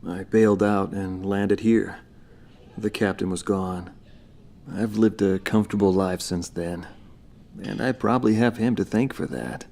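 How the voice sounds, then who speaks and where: An actor playing a retired fighter pilot at peace, talking reflectively in a dramatic interview. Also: male voice, low-pitched, steady tone, measured pace, creaky, darkish tone.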